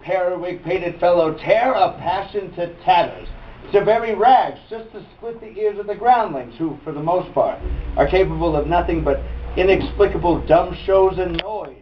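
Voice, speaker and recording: A man's voice: sits high, moderate pace, close to an everyday voice. A man performing an intense Danish prince in a classical stage monologue, declaiming formally and emphatically to a troupe of players. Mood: earnest, instructing. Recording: distant muffled mic, background noise.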